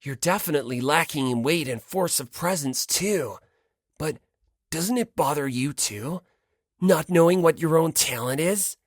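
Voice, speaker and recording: A man's voice: a little high, a little slow, dry recording, studio quality. A man voicing a cheerful, self-deprecating teenage boy who needles with frivolous, insincere politeness in an audiobook. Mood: cheerful needling.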